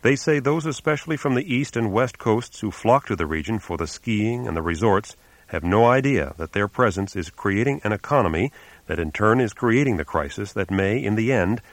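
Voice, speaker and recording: A man with a fairly low voice hosts a radio environment program. He reads his script in a measured, announcer-like way and poses careful questions to his guests. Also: dry recording. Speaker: radio show host and reporter. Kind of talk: scripted radio narration and interview.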